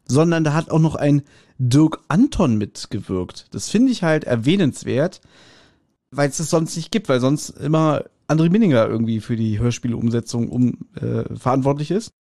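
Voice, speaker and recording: A man's voice: mid-range voice, lively intonation, normal speed, dark tone, no echo, studio quality. A middle-aged man co-hosting a podcast, reviewing audio drama in a casual, colloquial and jokey way. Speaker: podcast host.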